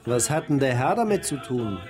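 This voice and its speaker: An actor playing a shifty, greedy and defensive Dominican monk in a historical radio drama. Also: male voice, medium pitch, expressive, normal speed, over music, lightly acted. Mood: tipsy, cheeky.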